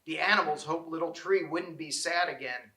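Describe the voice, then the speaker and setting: A man reading a children's storybook aloud, with expressive and deliberate phrasing. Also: a little high, roomy sound, poor audio. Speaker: storybook reader. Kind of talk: children's read-aloud narration.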